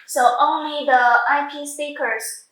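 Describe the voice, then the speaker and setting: A woman who speaks English as a second language, giving a halting step-by-step software tutorial with repeats and self-corrections. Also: sits high, steady tone. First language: not English. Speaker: product tutorial presenter. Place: indoor room, demonstrating at a computer screen. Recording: echoey room sound.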